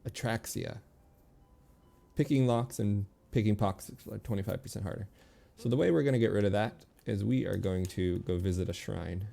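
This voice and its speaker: A man giving casual video game commentary, thinking aloud in a relaxed, muttering way as he makes decisions. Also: on the low side, normal speed, vocal fry.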